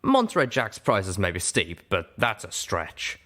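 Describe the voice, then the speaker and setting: Man playing a stern, deliberate pony guard. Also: on the low side, huge pitch swings, a bit bright, full character voice. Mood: skeptical. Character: stern, skeptical male pony security guard.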